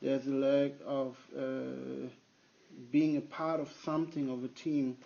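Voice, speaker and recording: Man, youth pastor, gravelly, mellow, halting speech, dull lo-fi sound. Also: medium pitch, little pitch movement. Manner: halting, with frequent restarts and fillers. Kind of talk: conversational interview answer. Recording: dull lo-fi recording.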